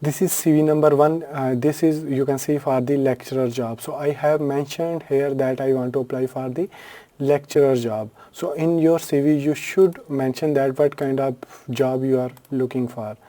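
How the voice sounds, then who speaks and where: A man's voice: mid-range voice, fairly even intonation, moderate pace, warm tone. A man from Pakistan whose first language is Urdu, talking through a screen-share tutorial in a loose, unscripted way with frequent fillers and self-corrections. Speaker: tutorial host.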